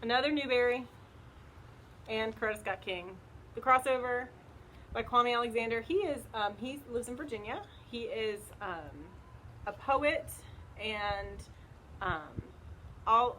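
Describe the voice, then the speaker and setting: A woman's voice: on the high side, rough recording. A woman casually recommending books, conversational and loosely phrased with small hedges, recorded outdoors. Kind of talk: casual book recommendation chat. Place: outdoors in the wind. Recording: loud wind noise on outdoor home video.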